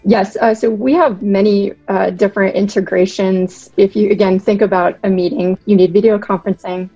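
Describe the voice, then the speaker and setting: Mellow-voiced woman podcast guest. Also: medium pitch, fairly even intonation, no echo, lo-fi sound, faint music behind. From Boston. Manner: articulate, measured explanations. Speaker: guest, product director. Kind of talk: podcast interview.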